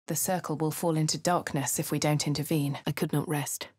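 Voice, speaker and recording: An actress with a posh English accent voicing a composed young female adventurer in a game, crisp and clipped. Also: low voice, steady tone, fairly quick, a little mellow, no room sound, clean studio sound. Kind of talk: game voice lines and narration.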